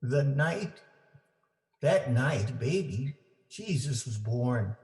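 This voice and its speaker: Male storyteller, mid-pitched voice, unhurried pace, deliberate phrasing, family read-aloud, echoey room. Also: darkish tone. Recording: roomy, echoing indoor sound.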